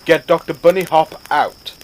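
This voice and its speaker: An actor playing a decisive, caring rabbit starship captain in a science-fiction audio drama, commanding and earnest. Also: male voice, a little high, fairly even intonation, normal speed, slight background noise, close to an everyday voice, low-quality recording. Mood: worried.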